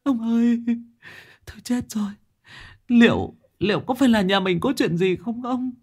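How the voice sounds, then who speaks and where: A man's voice: very high voice, animated, moderate pace, darkish tone, slightly rough, pitched above the performer's own voice, heavily acted. A man voicing a frightened older wife who stammers and repeats herself in a horror audio story. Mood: panicked, breathless. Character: terrified older wife, gasping after a nightmare.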